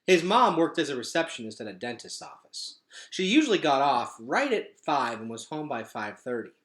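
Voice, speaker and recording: A man reading a storybook aloud as an expressive, dramatic narrator. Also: medium pitch, moderate pace. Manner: animated, dramatic. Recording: echoey room.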